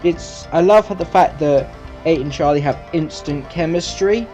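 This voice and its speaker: A male video host talks through a casual review. His voice is mellow and mid-pitched, and he speaks haltingly, correcting himself as he goes, over faint background music. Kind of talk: casual audio drama review.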